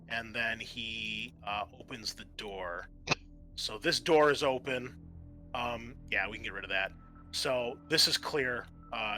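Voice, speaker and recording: Bright-voiced man as casual game master. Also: mid-range voice. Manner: casual, swears freely. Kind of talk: running a tabletop role-playing game.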